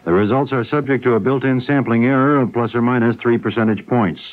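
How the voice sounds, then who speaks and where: An American man reads the news as a radio anchor. His pitch is middling, and the sound is a thin, lo-fi 1970s broadcast. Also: a little fast. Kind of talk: scripted news reading.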